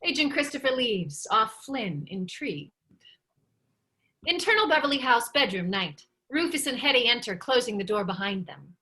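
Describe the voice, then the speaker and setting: Woman reading stage directions at a table read, crisp bright higher voice. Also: big pitch swings, normal speed. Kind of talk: scripted narration at a table read.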